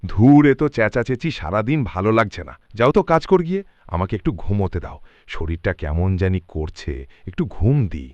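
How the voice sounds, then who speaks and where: Guy doing lazy grumbly shopkeeper husband, cartoon, swingy pitch, really dry sound. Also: big pitch swings, brisk, darkish tone, no echo, muffled recording. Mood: irritated, sleepy. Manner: whining, grumbling. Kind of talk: cartoon voice acting.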